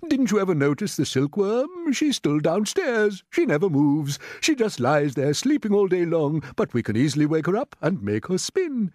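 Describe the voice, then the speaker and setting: A man voicing an excitable, sharp old male grasshopper in a children's story, speaking emphatically. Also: high-pitched, normal speed, hardly any pauses, no echo, studio quality, pitched above the performer's own voice. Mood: excited. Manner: emphatic, exclaiming.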